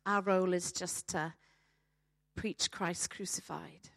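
A woman with a Welsh accent preaching a sermon to a congregation, speaking emphatically with rhetorical questions and dramatic pauses. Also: lowish voice, fairly even intonation, vocal fry, a bit bright, dry recording, clean studio sound.

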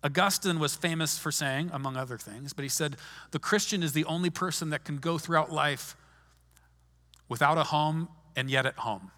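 High, bright-voiced preaching man. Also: normal speed. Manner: conversational preaching with frequent restarts. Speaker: pastor. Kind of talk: sermon. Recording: long hall reverb.

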